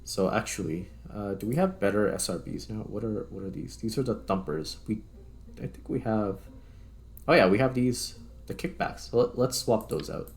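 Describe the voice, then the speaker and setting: A man's voice: lowish voice, animated, normal speed. A man doing casual let's-play commentary, thinking aloud informally as he works through a game. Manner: informal, muttering to himself while working. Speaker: gaming video host, player. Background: background music.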